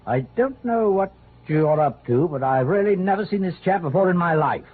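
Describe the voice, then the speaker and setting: An actor playing a suave, patronising gentleman swindler, mockingly polite, in an old radio drama. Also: high-pitched, lively intonation, moderate pace, husky. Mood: amused, taunting. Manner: urbane, drawling endearments, mockingly polite. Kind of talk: 1940s-50s radio drama acting. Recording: tinny, noisy old radio recording.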